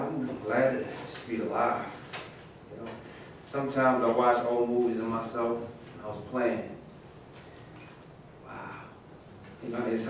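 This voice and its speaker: Casting: male actor as aging team-sport veteran, mid-pitched, conversational and resigned, stage monologue, very reverberant room. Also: close to an everyday voice. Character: aging former team athlete, grateful, resigned. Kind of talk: theatrical monologue, staged reading. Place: live staged reading in a roomy space. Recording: very echoey room, distant mic, faint noise.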